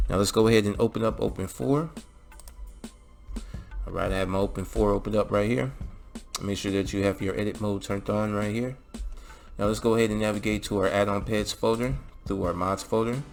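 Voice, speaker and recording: Male tutorial host, very deep voice with gravelly fry, casual and instructional, music underneath. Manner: instructional, often checks in with "all right" and "okay". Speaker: video host giving mod installation tutorial. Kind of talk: casual step-by-step screen tutorial narration.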